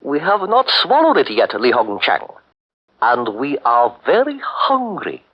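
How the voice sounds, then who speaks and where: A man's voice: medium pitch, wildly swooping pitch. A stern statesman in a 1940s radio drama. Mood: cold, firm. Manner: measured, cold, unyielding. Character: male Japanese statesman, stern, hard-bargaining. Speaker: Marquis Ito. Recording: dull old radio broadcast.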